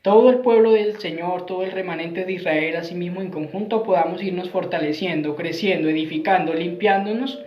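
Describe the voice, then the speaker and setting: A man's voice: high voice, fairly quick, hardly any pauses, a little mellow. A man giving an earnest Bible teaching sermon, repeating his phrases and often ending with tag questions. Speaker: Messianic preacher. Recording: roomy, dull lo-fi sound.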